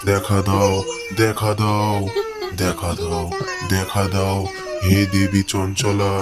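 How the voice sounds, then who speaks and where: A man's voice: deep voice, flat delivery, slow. A man voices an intense village devotee from a cartoon, earnest and desperate, given to repeating his words. Music runs behind him. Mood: fervent pleading. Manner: earnest, pleading, repeats himself. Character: desperate, pleading village husband and occult devotee.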